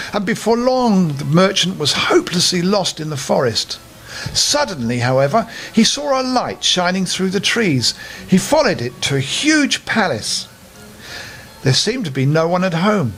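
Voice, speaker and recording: An older man tells a children's story in a fairly high voice. His intonation is very lively and expressive. He speaks as a storyteller to young listeners. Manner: animated, with friendly asides to young listeners.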